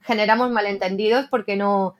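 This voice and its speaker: A woman's voice: medium pitch, steady tone, brisk. A woman translator talking reflectively in a casual interview, often tagging her sentences with a questioning "¿no?". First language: Spanish. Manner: reflective, frequent "¿no?" tags.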